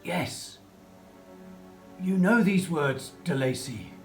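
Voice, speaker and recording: An actor playing a reanimated creature in a radio play, childlike and tormented, speaking haltingly and repeating words with effort. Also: mid-range voice, lively intonation, darkish tone, faint music behind. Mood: grave, slow.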